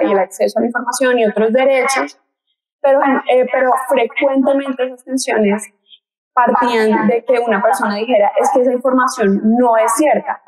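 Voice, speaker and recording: A woman's voice: fairly high pitch, low-quality recording. Colombian woman lecturing on a video call. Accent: Colombian Spanish. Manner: explanatory, with frequent fillers and restarts. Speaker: lawyer and researcher giving a talk. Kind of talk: online lecture presentation. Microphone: headset mic on a video call.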